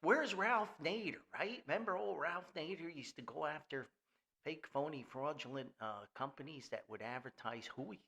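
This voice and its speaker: A man's voice: fairly high pitch, normal speed, vocal fry, bright, forceful tone, a little hiss or hum. An older man hosting a casual, rambling podcast in a folksy, jokey manner. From Paterson, New Jersey, US. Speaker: podcast host.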